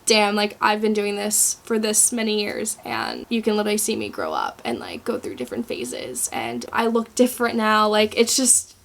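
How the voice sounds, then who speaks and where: A woman's voice: mid-range voice, lively intonation, moderate pace, creaky, few pauses, a little hiss or hum. A 22-year-old woman vlogger, congested from a cold, chatting casually through a life update and saying "like" a lot. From Florida.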